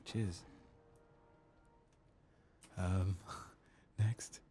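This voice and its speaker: A soft-spoken man murmuring ASMR commentary over a video game playthrough, musing to himself and sometimes dropping toward a whisper. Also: low-pitched, flat delivery, extremely slow, a little hiss or hum.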